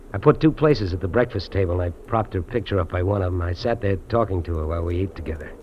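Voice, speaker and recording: A man's voice: deep voice, lightly acted. Guy doing a lonesome outlaw trapper, earnest n lovesick, old time radio drama, dull sound with faint hiss. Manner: earnest, wistful, confiding. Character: lonely, lovestruck fugitive trapper, adult man. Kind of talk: 1950s radio drama acting.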